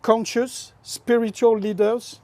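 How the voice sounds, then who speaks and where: A man's voice: very high-pitched, flat delivery, slow, a little mellow. A middle-aged man from France with a French accent, a guest in a casual interview chat, talking in an expansive, philosophical, emphatic way.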